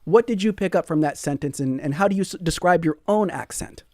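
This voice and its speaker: A man's voice: fairly high pitch, fairly quick, darkish tone, no echo, studio quality. A man hosting a public radio call-in show, talking in a friendly way and reading out listener comments. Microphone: studio microphone.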